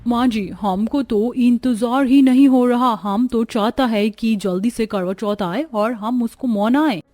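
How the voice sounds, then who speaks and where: A woman's voice: fairly high pitch, unhurried, a little mellow, natural voice. A woman voicing an eager, obedient young newlywed daughter-in-law, respectful and earnest, in a cartoon story.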